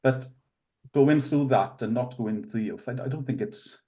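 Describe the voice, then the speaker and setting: A Welsh man in his forties, Welsh as his mother tongue, chatting at an easy, wandering pace. He circles back on his words and fills gaps as he goes. The sound is slightly dull and lo-fi, at a mid pitch. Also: moderate pace, mellow. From Wales. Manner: rambling, many restarts and fillers. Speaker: rugby club committee member, guest. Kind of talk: casual podcast interview.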